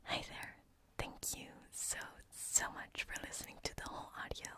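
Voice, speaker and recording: A woman ASMR creator addressing listeners in a soft, breathy whisper. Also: moderate pace.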